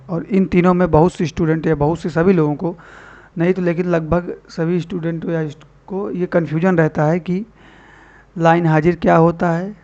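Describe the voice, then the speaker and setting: A man's voice: on the high side, darkish tone. A man giving an informal explanatory lesson to students as an online teacher, repeating and rephrasing key points and addressing viewers as friends. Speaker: online exam-prep teacher and channel host. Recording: dull lo-fi voice recording.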